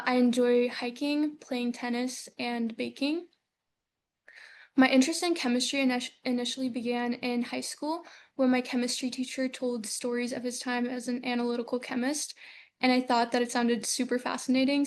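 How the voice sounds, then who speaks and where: Young woman presenting research, bright voice. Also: a little high, level tone, normal speed, slight background noise. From Ukraine. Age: young adult. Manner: earnest, with frequent um fillers. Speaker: student presenter. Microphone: video call.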